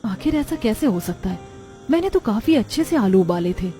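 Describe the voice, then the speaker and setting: A woman's voice: mid-range voice, big pitch swings, normal speed, warm tone, faint music behind, natural voice. A woman voicing a humble, honest female snack seller in a cartoon, earnest and apologetic. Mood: bewildered.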